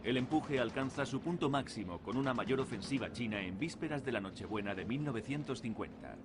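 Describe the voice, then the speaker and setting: A male documentary narrator with a steady, measured delivery. He reads a scripted voice-over at an even, unhurried pace, sounding like a polished studio read. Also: medium pitch, very noisy.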